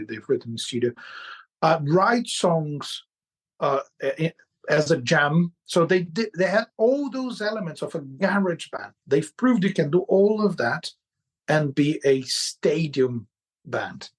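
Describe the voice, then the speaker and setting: Brazilian guy chatting about albums, stops and repeats words a lot, slightly higher voice. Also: slightly rough. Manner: hesitant, repeats words while searching for them. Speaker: podcast co-host. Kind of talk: casual chat.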